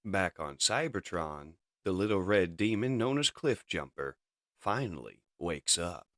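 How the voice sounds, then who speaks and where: A man's voice: low-pitched, lively intonation, unhurried, a bit bright, dry recording. A man hosting and narrating a comic dub in a theatrical, scripted storytelling style.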